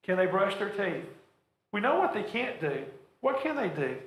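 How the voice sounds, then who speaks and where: A man's voice: high voice, very animated, normal speed, a bit bright. A man presenting a church workshop to an audience, talking earnestly and stumbling over repeated words. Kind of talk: practical talk to a church audience. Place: church hall. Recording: roomy echoing hall.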